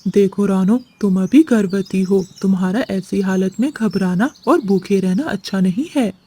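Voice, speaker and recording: A caring young male sparrow from a children's cartoon, played by a woman. The voice is soft and mellow at a middling pitch, gentle and reassuring in temper. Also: normal speed, breathy edge, close to an everyday voice.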